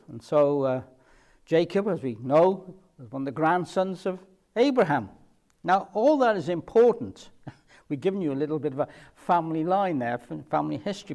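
A man's voice: a little high, a little mellow. A middle-aged man with a Welsh Cardiff accent preaching a sermon, explaining a Bible passage with repeated key points and frequent fillers. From Cardiff, Wales.

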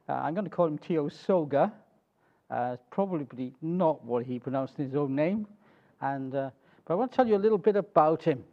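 A middle-aged man with a Welsh accent speaking as a preacher, telling a story to a congregation in a halting, conversational way with little check-in questions. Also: fairly high pitch, lively intonation, dull audio. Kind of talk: informal sermon telling a missionary biography to a congregation.

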